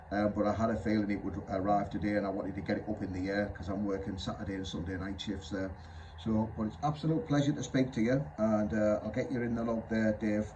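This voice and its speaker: Low, mellow, even-toned male radio ham. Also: low-quality recording. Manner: chatty, polite. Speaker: amateur radio operator. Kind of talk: unscripted two-way radio chat. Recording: faint background hiss.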